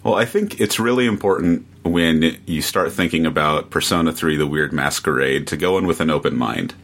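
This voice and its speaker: Male podcast guest, deep low voice, casual reviewing, measured and halting delivery. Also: a bit bright.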